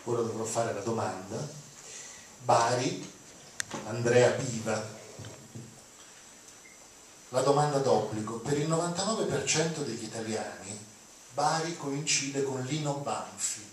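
A man's voice: medium pitch, unhurried, long pauses. Older male lecturer, hesitant and informal, heard off-mic in a reverberant auditorium. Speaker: lecturer introducing readings. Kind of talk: informal spoken introduction to a lecture. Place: auditorium, recorded from the audience. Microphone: handheld mic, picked up by far camera. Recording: echoey hall, distant mic, background noise.

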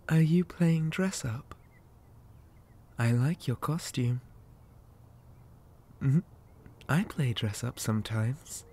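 A man playing a creepy, possessive living doll boy in an ASMR roleplay, soft and often whispering, with gentle, unsettlingly polite childlike sweetness. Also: mid-range voice, lively intonation, extremely slow, pauses often, slightly rough, heavily acted. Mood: eerily sweet.

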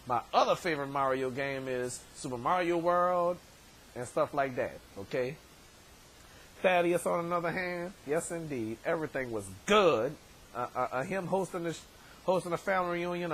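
A man in his thirties, hoarse from shouting, hosting a casual vlog from his bedroom on a webcam, rambling with many stammered repeats. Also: medium pitch, a little hiss or hum, low-quality recording. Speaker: show host. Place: bedroom, indoors. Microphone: webcam at desk distance.

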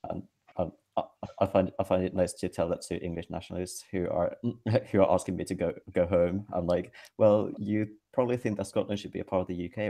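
A man in his twenties from Finland, a webinar panelist speaking over a video call in a halting way, with frequent restarts and wry laughs. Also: low-pitched, creaky, mellow. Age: 20s. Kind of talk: casual discussion. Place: indoor room with bright ceiling light. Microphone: video call webcam.

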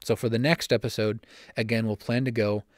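A young man hosting a solo church-history podcast from home, slightly raspy and talking fast with frequent self-corrections. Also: medium pitch, steady tone, no echo, studio quality. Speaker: podcast host. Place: home room.

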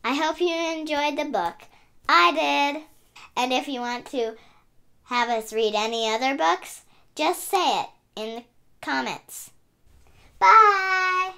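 A woman's voice: high-pitched, takes it slowly, vocal fry, pauses often, bright, forceful tone. A woman hosting a children's read-aloud, narrating expressively in a sing-song, emphatic way. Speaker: story reader and channel host.

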